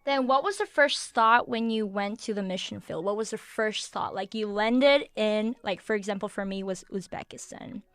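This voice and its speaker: A woman's voice: medium pitch, moderate pace, hardly any pauses, fairly bright tone, dry recording, faint music behind. A young woman hosting a casual video podcast, chatty and full of questions, using "like" a lot. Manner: chatty, asks questions with filler "like". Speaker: host.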